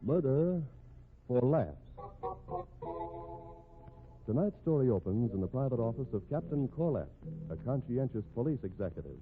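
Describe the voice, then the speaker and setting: A band-limited vintage radio recording with music around a man acting as a mystery announcer. His mid-pitched voice swings expressively as he sets the scene in deliberate, dramatic 1950s narration. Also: sing-song, phone-quality sound, natural voice. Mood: scene-setting.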